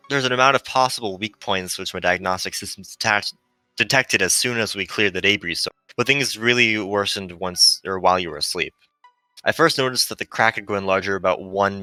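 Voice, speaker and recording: A man plays a fading female ship computer from a visual novel, gentle and self-sacrificing. The voice is low but very bright and forceful, earnest and melancholy as it explains. The sound is close and dry. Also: fairly quick, dry recording, faint music behind. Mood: regretful.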